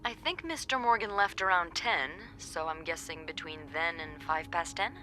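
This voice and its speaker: An actress playing a chatty, wry young woman working as a hotel receptionist in a video game, with playful, expressive delivery. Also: lowish voice, moderate pace, a little hiss or hum. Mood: gossipy, conspiratorial. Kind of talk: video game dialogue acting.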